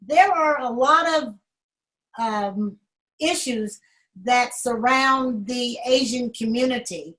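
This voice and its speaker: A woman, a guest on a talk panel, speaking hesitantly with many pauses and ums. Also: on the high side, fairly bright tone. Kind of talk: public affairs panel talk.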